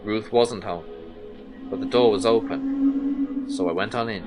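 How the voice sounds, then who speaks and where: A man's voice: on the low side, lightly acted. Haunted male investigator, played by an actor, first-person horror audio drama narration, suspenseful and anxious, prominent music underneath. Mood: wary, haunted. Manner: deliberate, suspenseful storytelling in short phrases.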